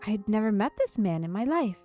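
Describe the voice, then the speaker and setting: Woman reading visual novel out loud, mellow voice, dramatic pauses, bit of game music. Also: medium pitch, sing-song. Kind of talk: expressive story read-aloud for a gameplay video.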